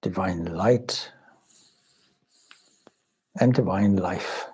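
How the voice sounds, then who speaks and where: A man leading a guided meditation as a spiritual teacher, speaking in a measured, contemplative manner with long pauses and a husky voice. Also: deep voice, a little mellow.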